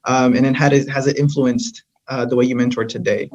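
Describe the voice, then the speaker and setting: A man's voice: medium pitch, flat delivery, a little fast, darkish tone. A man moderates a panel casually, thanking speakers and passing the question along. His voice sounds a bit dull and lo-fi. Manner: casual, affirming.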